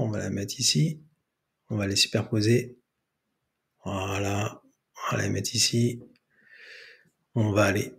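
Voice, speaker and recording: A man's voice: lowish voice, vocal fry. A man hosting an improvised screen tutorial, hesitantly narrating each step aloud. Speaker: tutorial host.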